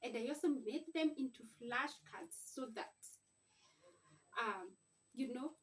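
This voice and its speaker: Woman doing a homemade teaching vlog, slow simple explaining, a bit far from the mic w faint noise. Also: fairly high pitch. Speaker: mother teaching her children to read. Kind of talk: informal instructional vlog. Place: at home.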